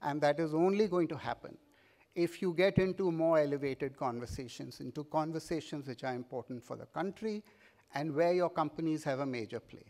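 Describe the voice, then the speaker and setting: Earnest Indian English keynote speaker. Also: male voice, on the high side, brisk, darkish tone. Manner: earnest, urging, repeats words with fillers. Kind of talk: conference keynote speech. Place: large conference stage, indoors. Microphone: podium mic.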